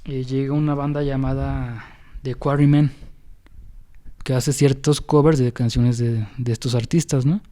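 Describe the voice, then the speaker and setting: A young Mexican man with a mellow, dark voice rambles through a casual podcast chat about music. He hosts at a middle pitch, with plenty of fillers and restarts. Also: fairly even intonation, unhurried. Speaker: podcast host.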